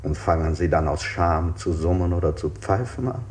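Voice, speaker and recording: An actor playing a solicitous, insistent interrogator in a stylised radio play, putting formal, probing questions one after another. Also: male voice, deep voice, normal speed, a little mellow, slight background noise, natural voice. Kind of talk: stylised 1960s German radio play acting.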